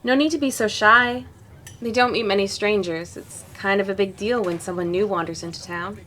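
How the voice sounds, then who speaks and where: A woman's voice: medium pitch, normal speed, pushed and bright, natural voice. A woman voicing a friendly, chatty, playful young woman from a small town in a visual novel fan dub. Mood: friendly, upbeat.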